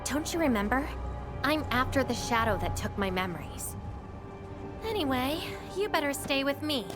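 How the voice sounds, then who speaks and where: A woman's voice: high voice, very animated, moderate pace, over music. A woman playing a self-assured, coolly teasing young warrior in a video game, composed and slightly formal. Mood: cool, knowing. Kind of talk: video game cutscene acting.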